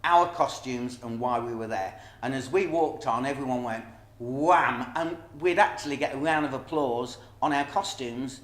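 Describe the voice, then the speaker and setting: Middle-aged man, mid-pitched voice, emphatic storyteller, casual interview, roomy echo. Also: normal speed, a bit bright. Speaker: pantomime dame performer. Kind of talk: casual interview chat about stage work. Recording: echoey room.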